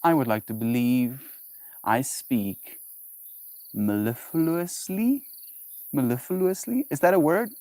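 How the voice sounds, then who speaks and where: A man's voice: medium pitch, lively intonation, takes it slowly, long pauses, warm tone, no room sound. Playful man, dry sound. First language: Afrikaans. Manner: playful, musing aloud, savoring and repeating words. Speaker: livestreamer. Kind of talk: casual solo livestream chat.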